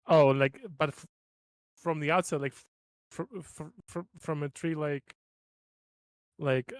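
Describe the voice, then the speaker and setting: A man's voice: on the high side, steady tone, takes it slowly, no room sound. A man playing a tabletop role-playing game, chatting casually and asking tentative, stammering questions.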